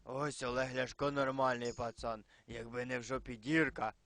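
Man acting a gravelly, crude homeless veteran. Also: mid-range voice, little pitch movement, normal speed, a bit bright, dry recording, natural voice. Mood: preachy, gruff. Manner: preachy, crude, addresses listener as son. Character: gruff male homeless war veteran, preachy, crude beggar. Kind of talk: adult cartoon voice acting.

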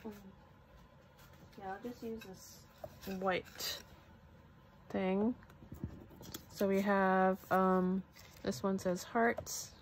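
Female craft vlogger, unhurried and informal, thinks out loud; noticeable noise behind her. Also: medium pitch, steady tone, low-quality recording. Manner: chatty, musing aloud to herself. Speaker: crafter showing a product haul. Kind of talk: casual unboxing commentary. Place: indoors at a craft table.

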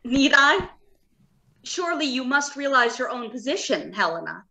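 Woman acting an earnest high-voiced scientist. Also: big pitch swings, pushed and bright, heavily acted, rough recording. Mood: uneasy, confessing. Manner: emphatic, deliberate, with dramatic hesitations. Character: earnest, uneasy robot scientist, a doctor. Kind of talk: old-time radio drama acting. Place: home, remote video call.

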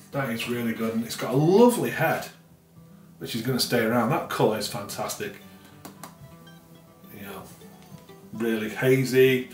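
A middle-aged man casually reviewing a beer at home in his kitchen, rambling with many fillers and repeated words. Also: medium pitch. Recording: roomy, slightly distant sound with faint music.

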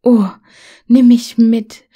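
Poor freezing little girl played by a woman narrator, high-pitched, urgent, emotional storybook pleading. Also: flat delivery, drawn-out words, warm tone, husky, dull audio, full character voice. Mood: desperate pleading.

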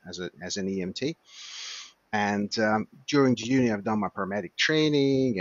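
A man's voice: deep voice, a bit bright, no echo, muffled recording. A Hungarian-accented man in his fifties, an emergency physician, chatting in an interview with many fillers and restarts. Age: 50s. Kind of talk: casual interview chat.

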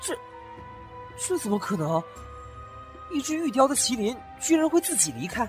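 A man's voice: extremely high pitch, very animated, moderate pace, soft background music. A man voicing a curious, naive young master in an audio drama who talks eagerly and asks many questions.

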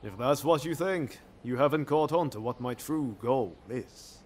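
A man's voice: mid-range voice, a little slow, slight background noise, natural voice. An actor playing a cocky, idealistic young swordsman in a video game, breezy and self-assured. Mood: confident, earnest. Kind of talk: video game cutscene acting.

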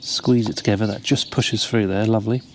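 A man, calmly explaining step by step how to tie a fishing rig, recorded outdoors. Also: lowish voice, fairly quick, slight background noise. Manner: relaxed, explains each step while tying. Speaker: carp fishing angler demonstrating a rig. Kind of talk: casual step-by-step tutorial. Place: outdoors by the water, seated over a tackle box.

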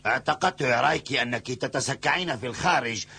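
A man voicing an old bald male kung fu master, a fond father who talks gently and chuckles, in a cartoon dub. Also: medium pitch, fast talker, vocal fry, slight background noise. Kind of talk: Arabic cartoon dubbing.

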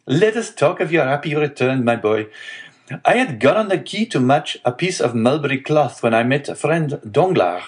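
A man's voice: mid-range voice, very animated, moderate pace, pitched above the performer's own voice, natural voice. A man voicing a sly, greedy tailor in his twenties in a novel, over-friendly and effusive. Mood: chatty.

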